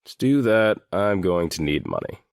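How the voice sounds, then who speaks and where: A man playing a guarded seventeen-year-old boy in a full-cast audiobook, speaking in a deliberate, matter-of-fact way. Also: sits low, huge pitch swings, gravelly, no echo, clean studio sound, full character voice. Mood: frank, determined. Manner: deliberate, matter-of-fact, swears now and then.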